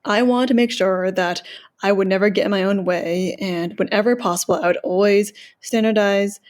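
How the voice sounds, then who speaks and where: A woman's voice: mid-range voice, fairly even intonation, normal speed, darkish tone. A woman selling her business, giving earnest, well-organized answers in a podcast interview and laying out her points one by one. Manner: self-promoting, proud of her work. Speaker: business seller.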